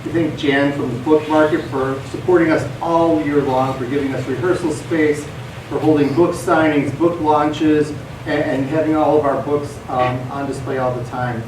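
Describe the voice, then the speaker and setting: Guy doing a curtain speech, bit halting, roomy theater, noisy distant recording. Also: a little high, fairly even intonation. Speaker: theater company member giving thanks. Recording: echoey theater, distant mic, clear noise.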